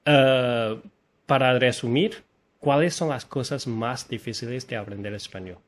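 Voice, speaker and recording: Relaxed man with a mid-pitched voice. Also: measured pace. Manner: relaxed, explains with examples and fillers. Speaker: host. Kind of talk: casual conversation about learning languages.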